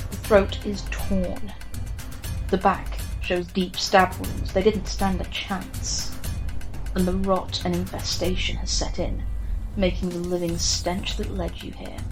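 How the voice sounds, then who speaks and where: Woman, horror story narrator, flat intonation, grave and measured, clear music underneath. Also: medium pitch, poor audio. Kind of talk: scripted second-person horror story narration. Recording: music behind the voice. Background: background music.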